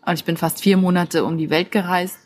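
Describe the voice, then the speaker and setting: A woman's voice: lowish voice, little pitch movement, fairly quick, a little mellow, a little hiss or hum. Encouraging woman in an informal motivational monologue. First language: German. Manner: encouraging, addresses the listener directly.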